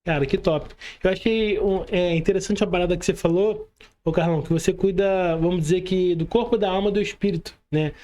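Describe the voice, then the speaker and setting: A man's voice: sits high, fairly even intonation, a little fast, warm tone. A man with a Brazilian Portuguese accent hosting a casual podcast interview, speaking informally with slang and frequent tag questions seeking agreement. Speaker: podcast host.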